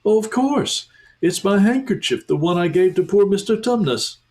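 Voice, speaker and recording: A man voicing a young girl in a storybook, eager and gentle, raising his voice high. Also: lively intonation, moderate pace. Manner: bright, eager, higher voice.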